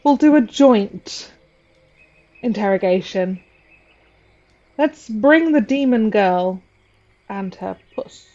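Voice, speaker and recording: A woman's voice: mid-range voice, lively intonation, slow pace, pauses often, mellow, lo-fi sound. A woman playing a fey judge, asking questions in a formal, interrogating manner. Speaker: player voicing a fey judge.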